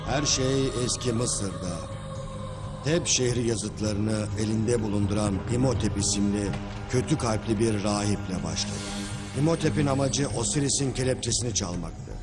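A man's voice: lowish voice, moderate pace, lightly acted. A man playing a solemn, dramatic narrator telling an ancient legend in a cartoon. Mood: solemn, ominous. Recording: very loud music behind.

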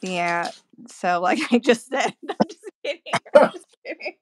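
A woman with a gravelly voice chatting casually and joking while drawing at home. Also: on the high side, sing-song, a little slow, slight background noise. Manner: joking, playful, laughs often. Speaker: artist co-host.

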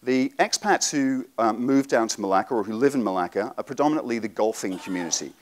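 A man in his forties giving a live seminar talk to an audience in a conference room, relaxed and explanatory with frequent ums. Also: mid-range voice, fast talker. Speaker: presenter.

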